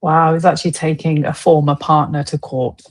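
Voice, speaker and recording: A woman's voice: sits low, flat delivery, a little fast. A woman guest telling her personal story in conversation, candid and informal. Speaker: podcast guest.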